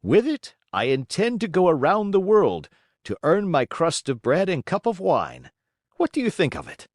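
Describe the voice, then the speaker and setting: A man voicing a dapper, hot-tempered, excitable old man in a storybook reading. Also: high voice, moderate pace, no echo, studio quality, voice pushed up, heavily acted. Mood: eager, chipper.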